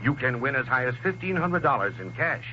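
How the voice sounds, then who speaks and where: A man delivers scripted 1930s radio announcements with a bright, forceful edge and formal, emphatic phrasing. His mid-pitched voice comes through a dull old recording with hum. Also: lively intonation, a little fast. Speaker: radio announcer and contest manager.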